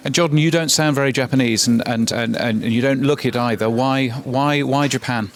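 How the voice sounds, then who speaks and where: A man's voice: mid-range voice, moderate pace. A man hosting a podcast, asking conversational questions at a conference and often restarting his sentences. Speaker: podcast host, interviewer. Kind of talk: conference interview.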